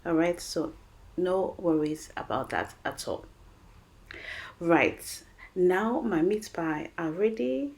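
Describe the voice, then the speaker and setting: A woman's voice: fairly low pitch, animated, takes it slowly, darkish tone. A woman with a French accent hosting a cooking channel, talking viewers through a recipe in a chatty, encouraging way. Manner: chatty, encouraging, addresses viewers as guys and friends. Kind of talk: recipe voice-over.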